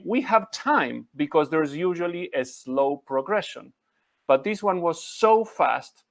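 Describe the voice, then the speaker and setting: A man's voice: mid-range voice, fairly bright tone. A middle-aged man from Argentina with an Argentine accent, a native Spanish speaker, presenting as a guest in an articulate, conversational storytelling style. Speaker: guest speaker, humanitarian researcher.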